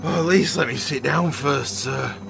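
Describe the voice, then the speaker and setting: A man acting an exhausted, betrayed and angry soldier in an audio drama, with a gravelly voice. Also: a little high, moderate pace, background noise. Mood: weary. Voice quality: gravelly, creaky.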